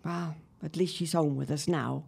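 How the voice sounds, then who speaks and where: A woman acting as a sharp-tongued wife and mother in a period audio drama, speaking bluntly and emphatically. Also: deep voice, fairly even intonation, moderate pace, gravelly, husky, full character voice. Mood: grave, mournful.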